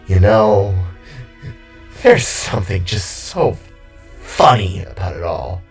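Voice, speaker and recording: A man performs a fallen villain king in an audio drama, mocking and gleefully unhinged. He drags out his words in a groggy, hissing ramble that swoops widely in pitch, with music playing underneath. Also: lively intonation, slow, creaky, distant mic, full character voice.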